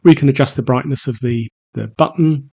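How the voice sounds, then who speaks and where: A man's voice: mid-range voice, brisk, creaky, darkish tone, husky. A man with a mid-range voice talks through a product in a casual review. He explains things informally, feeling his way through sentences with some hesitation. It sounds like a home renovator sharing an honest hands-on walkthrough.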